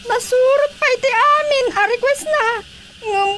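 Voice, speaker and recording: A woman's voice: extremely high pitch, unhurried, fairly bright tone, a little hiss or hum. A woman with an Ilocano accent acting a pleading, chatty village mother in a radio drama comedy.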